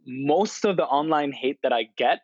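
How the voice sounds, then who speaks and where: A man's voice: a little high, lively intonation, normal speed, no room sound. Young guy talking openly on a podcast, lots of "you know", dry slightly muffled sound. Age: 20s. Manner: open, reflective, many "you know" fillers. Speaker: podcast guest, poet and influencer. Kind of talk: casual interview chat.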